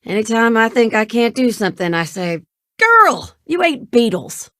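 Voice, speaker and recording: A woman's voice: fairly high pitch, sing-song, normal speed, sharp tone, no room sound, studio quality. An actress voicing a spirited young woman ship pilot and mechanic in a video game, with casual, familiar, folksy banter. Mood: resolute, frank. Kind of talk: video game character dialogue.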